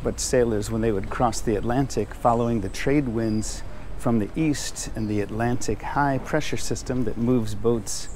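A man narrates a casual walking tour in the manner of a guide. He speaks at a middle pitch, recorded outdoors with clear street noise behind his voice. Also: moderate pace, few pauses, darkish tone. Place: outdoors on old city streets.

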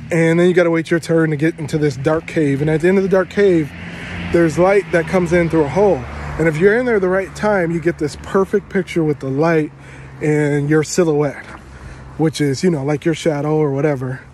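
Animated young man telling a travel story. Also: on the high side. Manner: animated, exaggerating for effect, lots of fillers. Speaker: travel vlogger. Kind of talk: casual storytelling to camera. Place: outdoors among trees.

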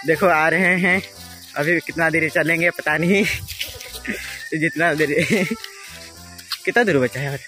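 A man's voice: a little high, slow pace, long pauses, sharp tone, faint music behind. A young man from Jashpur, Chhattisgarh, with a local accent, vlogging casually and joking as he walks a forest path, filming on a handheld phone. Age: young adult. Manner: rambling, joking, talks while walking. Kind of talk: casual walking vlog. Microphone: phone camera held at arm's length.

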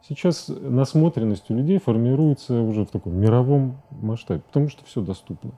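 A man's voice: medium pitch, mellow. A middle-aged man giving a casual studio interview as a guest, searching for words as he talks. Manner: hesitant, halting, searching for words. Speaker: guest, animation director. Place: TV studio, seated at desk.

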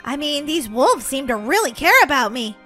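A woman's voice: high-pitched, sing-song, normal speed, pushed and bright, soft background music, full character voice. A woman voicing a sweet, eager adopted girl in a cheerful children's game roleplay.

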